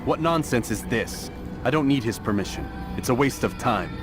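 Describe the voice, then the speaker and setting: An actor playing a proud, impatient young assassin in a video game, speaking in a terse, cool, arrogant way. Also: male voice, medium pitch, expressive, moderate pace, natural voice. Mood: irritated. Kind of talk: dramatic video game cutscene acting. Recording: very loud music behind.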